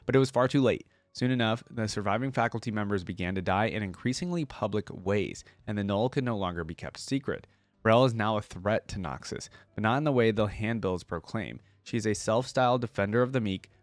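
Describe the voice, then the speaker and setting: Low-voiced man reading and reacting, dry recording. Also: dry recording. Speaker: reaction video host. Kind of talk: reading lore aloud with casual reactions.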